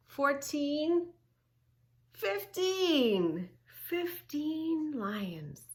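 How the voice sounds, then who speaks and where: A woman's voice: a little high, fairly bright tone. A woman reading a children's book aloud as a yoga teacher, encouraging young listeners with questions, repetition and simple instructions. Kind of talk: children's read-along with movement instructions and counting.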